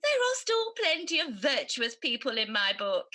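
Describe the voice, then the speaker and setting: A woman's voice: high voice, huge pitch swings, moderate pace, bright, forceful tone, exaggerated voice. A woman playing a good, easily indignant champion of virtue in a radio pantomime, theatrical and earnest.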